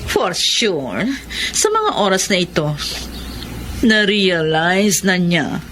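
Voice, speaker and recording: Theatrical, scornful aunt acted by a woman, very animated. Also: fairly low pitch, takes it slowly, faint music behind, exaggerated voice, poor audio. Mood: scheming, smug. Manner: theatrical, drawling, slips English phrases into Tagalog. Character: scornful, vengeful aunt plotting against a young man. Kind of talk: Filipino radio romance drama acting.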